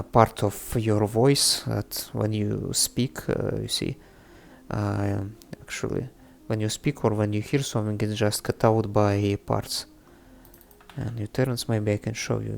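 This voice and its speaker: A man presenting a casual, unscripted coding tutorial, thinking aloud haltingly in non-native English. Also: lowish voice, steady tone, creaky. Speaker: presenter of a programming tutorial series. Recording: faint background music under the voice. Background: faint music.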